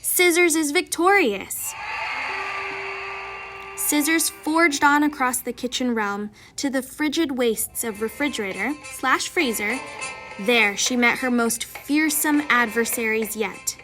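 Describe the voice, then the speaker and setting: A woman's voice: high-pitched, takes it slowly, music in the background, poor audio. A woman reading a children's storybook aloud in a dramatic, mock-epic manner. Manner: theatrical, mock-epic delivery. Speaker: children's picture book narrator.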